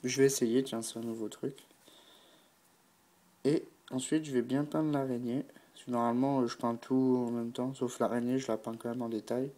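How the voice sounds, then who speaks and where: A man's voice: mid-range voice, level tone, normal speed, warm tone. A man with a stuffy, blocked nose from a cold, unhurriedly talking through a painting tutorial and thinking aloud with many fillers. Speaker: miniature painting hobbyist.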